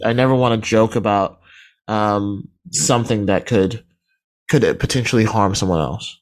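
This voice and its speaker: A man speaks as a radio guest in casual, reflective discussion, haltingly repeating words as he works out his thoughts. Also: fairly low pitch.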